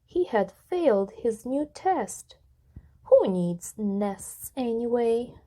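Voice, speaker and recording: Slow, expressive woman storyteller. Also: on the high side. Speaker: storybook narrator. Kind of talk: expressive rhyming children's story read-aloud. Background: background music.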